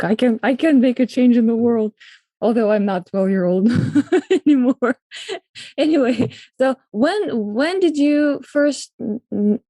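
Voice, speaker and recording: A woman's voice: mid-range voice, warm tone, no echo. A woman hosting an interview podcast, chatting in a friendly way with some fillers and easy laughs. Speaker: podcast host. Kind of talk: casual interview talk.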